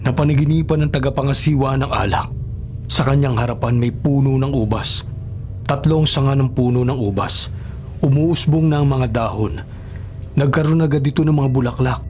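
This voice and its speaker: An actor playing an imprisoned royal baker seeking help in a Filipino radio drama, speaking in a measured, respectful way. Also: male voice, medium pitch, a little mellow, faint music behind, close to an everyday voice. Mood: narrating a dream.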